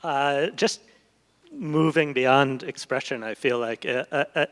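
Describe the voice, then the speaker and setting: A man's voice: medium pitch, measured pace, a bit bright. Thoughtful man speaking in an echoey hall. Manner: hesitant, thoughtful, building long winding sentences. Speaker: literary translator, guest speaker. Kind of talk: on-stage conversation, reflective and unscripted. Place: large event hall stage. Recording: echoey hall with long reverb.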